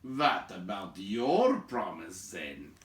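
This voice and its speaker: A man voicing a gruff, grumbling dwarf leader from a storybook in a blunt manner. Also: deep voice, very animated, takes it slowly, roomy sound, far from the mic, heavily acted. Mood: challenging.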